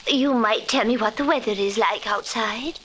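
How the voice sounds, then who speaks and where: Genteel Victorian hostess lost in the past, female actor: high, refined, gushing, vintage radio drama with light hiss. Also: normal speed, a bit bright, close to an everyday voice. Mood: gracious. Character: genteel, deluded Victorian lady hostess, cheerfully living in past. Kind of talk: 1940s radio acting. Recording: faintly noisy old 1940s radio recording.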